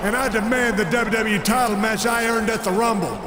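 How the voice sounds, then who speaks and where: A man playing a fired-up challenger wrestler in a video game, delivering a forceful, defiant promo. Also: high-pitched, steady tone, a little fast, a crowd in the background, close to an everyday voice. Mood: defiant, angry. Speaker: pro wrestler. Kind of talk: scripted arena promo. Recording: echoey arena reverb, noisy.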